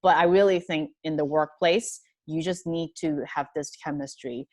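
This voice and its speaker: Low-pitched woman from hong kong, earnest panel answers, lots of ums, dry sound. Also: steady tone, normal speed, darkish tone, no echo. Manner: earnest, thoughtful, many fillers and restarts.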